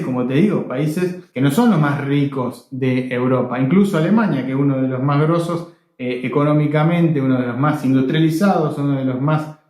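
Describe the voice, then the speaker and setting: An Argentine man with a slightly high voice talks straight to his viewers as a video blogger. He argues his opinions emphatically, repeating phrases for stress. The room around him is clearly echoey. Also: darkish tone. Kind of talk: opinionated direct-to-viewer commentary.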